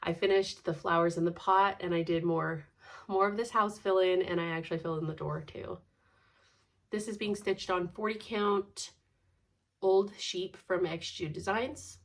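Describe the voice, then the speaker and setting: A woman's voice: on the low side, steady tone, moderate pace. A woman in her thirties with a congested, stuffed-up nose, chatting casually at home about her cross-stitch, rambling and laughing often. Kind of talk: casual chat.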